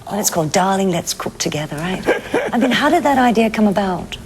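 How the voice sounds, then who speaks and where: A woman's voice: mid-range voice, lively intonation, brisk, a little mellow, poor audio. A woman hosting a television interview, asking questions in a polite, inquisitive and conversational way. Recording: clear background ambient noise.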